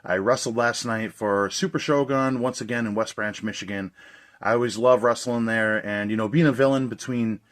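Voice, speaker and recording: A young man with a slightly hoarse voice, chatting casually and enthusiastically as he reviews a movie release for his video channel. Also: on the low side, normal speed, a bit bright.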